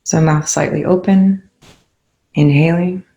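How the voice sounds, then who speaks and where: A calm, unhurried speaker leading a guided meditation in soothing spoken instructions with long pauses. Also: mid-range voice, gravelly, muffled recording.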